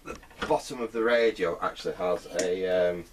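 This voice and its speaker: Deep-voiced middle-aged man. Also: moderate pace, a little hiss or hum. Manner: hesitant, thinking aloud while working. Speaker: vintage radio restorer, presenter. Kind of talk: casual hands-on repair commentary. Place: indoor workbench.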